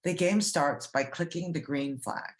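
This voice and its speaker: Woman, children's teacher, read-aloud lesson, low pitch, slow pace, simple questioning delivery. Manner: simple explanations, asks the listeners questions. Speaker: coding teacher reading a story aloud.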